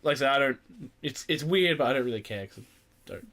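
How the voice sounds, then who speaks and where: A man's voice: medium pitch, lively intonation, a little fast, a bit bright. A young man hosting a casual podcast chat about film news, with a rambling, jokey, sweary manner. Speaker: podcast host.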